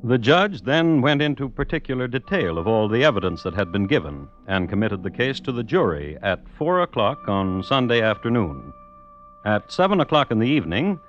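A wry crime historian, expressive pitch. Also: male voice. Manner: deadpan, precise, formal. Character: dry, wry crime historian narrating old murder cases. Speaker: host and narrator. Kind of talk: 1950s radio scripted narration. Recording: dull, dry old radio recording.

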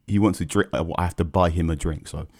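A man's voice: deep voice, a little fast. A man from England telling a travel story on a podcast as a guest, acting out the people he quotes. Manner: animated, acts out quoted people in his stories. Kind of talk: casual storytelling.

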